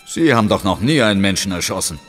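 An actor playing a cool-headed, firm police ghost hunter in a German audio drama, controlled and resolute. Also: male voice, low voice, animated, fast talker, faint music behind. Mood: defiant.

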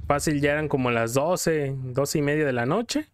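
A man's voice: a little high, moderate pace, clear, resonant tone, no echo, studio quality. A man with a Mexican accent telling a story in casual, colloquial style while commenting as a gameplay host. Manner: colloquial, acts out quotes in passing.

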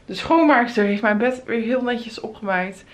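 A woman's voice: fairly high pitch, normal speed. A young woman in her twenties, chatting upbeat to a handheld camera at arm's length while on holiday. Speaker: travel vlogger. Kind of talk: casual travel vlog. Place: outdoors, hotel rooms and a spa on holiday.

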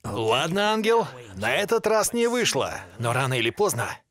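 A man's voice: on the high side, sing-song, moderate pace, fairly bright tone. An actor voicing a sly, mocking devilish male character in a dubbed cartoon. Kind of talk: animated series dubbing.

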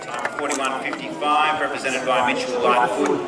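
A man announcing a medal ceremony at an outdoor athletics stadium, reading out places, times and names in a formal, measured way. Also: medium pitch, level tone. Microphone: public address system heard from afar. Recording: echoey stadium PA from far off, loud crowd. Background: crowd noise.

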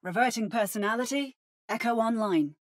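A woman's voice: fairly high pitch, normal speed, close to an everyday voice. A woman playing an adaptive female robot in a video game, with a precise, synthetic, theatrical delivery that mimics other fighters. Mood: precise, robotic. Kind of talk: video game character voice lines.

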